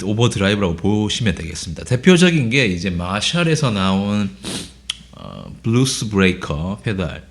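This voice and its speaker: Hoarse low-voiced man teaching guitar. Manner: relaxed, explanatory, many filler sounds. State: hoarse from a cold. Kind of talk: casual gear demo lesson.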